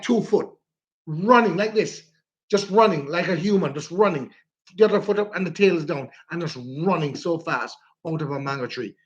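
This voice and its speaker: A man with a stuffy nose, chatting casually on a livestream and telling stories with frequent laughter. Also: a little high. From Jamaica. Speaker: vlogger. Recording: dull lo-fi phone audio.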